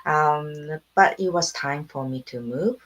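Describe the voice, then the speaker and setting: Low-voiced middle-aged woman from Japan. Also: takes it slowly. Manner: soft-spoken, laughs often, simple phrasing. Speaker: interview guest, online business owner. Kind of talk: casual video interview.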